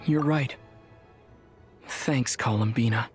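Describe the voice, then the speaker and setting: A man's voice: medium pitch, slow pace, natural voice. Man playing an earnest young traveler hero, music behind. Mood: sincere, gentle. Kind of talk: video game voice acting.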